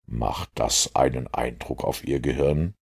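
A man voicing a self-assured master detective who lectures in a measured, superior way in an audiobook. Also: rumbling low, gravelly, breathy edge, full character voice. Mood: lecturing, assured. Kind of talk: audiobook character dialogue.

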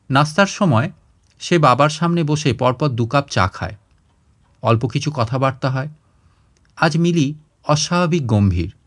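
A man narrating a literary short story in an expressive audiobook style. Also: mid-range voice.

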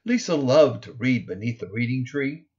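A middle-aged man reading his own children's picture book aloud, expressively and deliberately, stressing key words in the rhymes. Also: medium pitch, animated, a little mellow, muffled recording. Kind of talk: expressive children's storybook narration.

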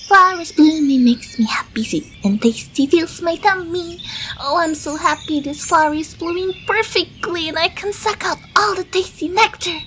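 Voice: very high-pitched, normal speed, pushed and bright. An actor voicing a carefree, cheerful little butterfly in a bubbly cartoon manner, stretching out happy phrases. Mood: blissful. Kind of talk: children's cartoon story acting. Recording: noisy birdsong ambience under voice. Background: chirping birds.

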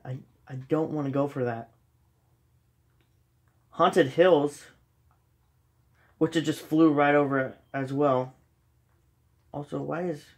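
A young man with a slight cold, casually commenting while he plays a game at home, rambling and self-deprecating with many ums. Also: medium pitch. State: sniffly with a cold. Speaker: gaming channel host. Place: dark room at home, filming a TV screen.

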